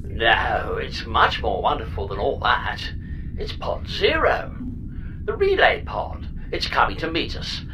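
A woman's voice: extremely low pitch, wildly swooping pitch, a little slow, noisy background, full character voice. A woman voicing a chirpy, scatterbrained space pod computer in a sci-fi audio comedy, speaking with whimsical, flippant, hammy relish. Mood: melodramatic.

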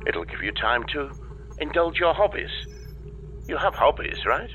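A man's voice: on the high side, sing-song, unhurried, pushed and bright, highs cut off, a little hiss or hum, exaggerated voice. A man playing an evasive, breezily unhelpful space-agency dispatcher in a comedy audio drama, talking over a radio link. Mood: breezily upbeat. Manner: breezy, evasive, hesitant when cornered.

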